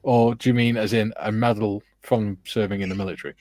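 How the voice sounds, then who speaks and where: A man's voice: fairly low pitch, fairly even intonation, a little fast, darkish tone, no echo. A man hosting a casual stream, reading viewer comments aloud and teasing his co-hosts in a jokey way. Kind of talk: casual chat.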